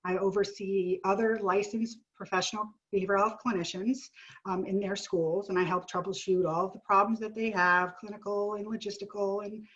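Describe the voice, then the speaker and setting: A woman's voice: mid-range voice, level tone, a little fast. A woman who works as an art therapist and clinical director, talking in a friendly, chatty way on a video call while getting over a sinus illness. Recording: dull lo-fi call audio.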